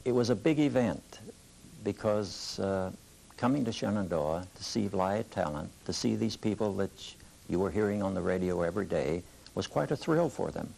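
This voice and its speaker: A man's voice: deep voice, expressive, moderate pace, gravelly, dark tone, poor audio. An older man, casually reminiscing as an interviewee. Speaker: interviewee recalling the past. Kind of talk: casual oral-history reminiscence. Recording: faint tape hiss.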